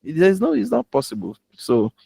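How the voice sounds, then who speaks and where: A man's voice: mid-range voice, huge pitch swings, moderate pace, vocal fry, warm tone, husky, no room sound. A man with a Nigerian accent giving an instructional talk as a public speaking coach, emphatic and given to rhetorical questions.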